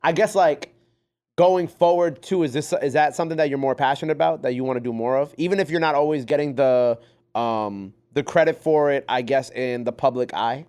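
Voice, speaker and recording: A man's voice: medium pitch, darkish tone, clear, resonant tone. A man hosting an interview, asking long questions while searching for his words. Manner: halting, searching for words, many fillers. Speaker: interviewer, radio host.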